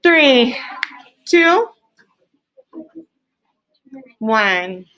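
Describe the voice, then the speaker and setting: A woman teacher leading an interactive lesson for young children, encouraging them with praise and explaining in simple, deliberate terms. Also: fairly high pitch, very animated, a bit bright. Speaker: elementary school teacher. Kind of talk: interactive classroom lesson with questions. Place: classroom.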